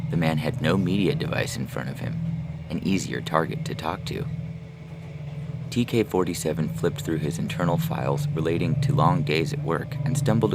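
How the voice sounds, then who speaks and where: A man reading scripted science fiction narration as a storyteller. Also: a bass voice. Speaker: author and story reader. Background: prominent music.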